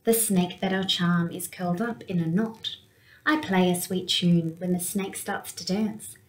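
A young woman reading a picture book aloud for children in an expressive storytelling manner. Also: lowish voice, a little slow, poor audio. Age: young adult. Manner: expressive, with acted-out sound words. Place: small indoor room with window blinds.

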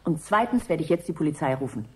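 An actress playing a sharp-witted, sceptical young student in a radio play, sardonic and demanding. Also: female voice, sits low, very animated, quick pace, poor audio. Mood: curt, impatient.

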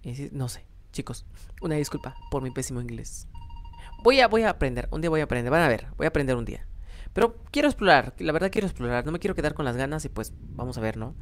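A man's voice: a little high, very animated, fast talker, a little hiss or hum. A young adult man with a Mexican accent giving casual, self-deprecating gameplay commentary, rambling and thinking aloud. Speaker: gaming YouTuber, host. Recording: background music under voice. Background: music.

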